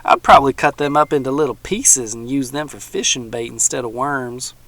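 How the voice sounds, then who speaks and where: Gruff old swamp hermit with a gravelly backwoods drawl and a raised, louder voice, read by a man. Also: mid-range voice, fairly quick. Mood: menacing. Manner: folksy backwoods drawl, growling.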